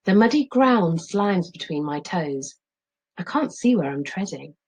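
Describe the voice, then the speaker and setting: Animated, slightly husky woman reading aloud. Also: on the low side, measured pace. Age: middle-aged. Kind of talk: expressive children's story narration and lesson.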